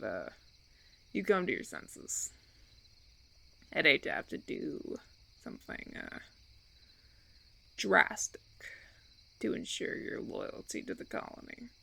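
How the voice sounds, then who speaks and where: A man playing a suave, menacing vampire recruiter in an audio roleplay, speaking deliberately with theatrical, patronizing persuasion. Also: sits high, huge pitch swings, vocal fry, pushed and bright, exaggerated voice. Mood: coaxing, veiled threat. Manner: theatrical, deliberate, silky persuasion with veiled threats. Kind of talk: audio roleplay, ASMR monologue.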